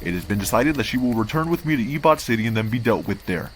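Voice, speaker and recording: A man's voice: mid-range voice, lively intonation, fast talker, music in the background, close to an everyday voice, poor audio. A man playing a cold, disdainful council envoy, speaking formally and condescendingly in a comic dub. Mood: haughty. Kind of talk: amateur comic dub acting.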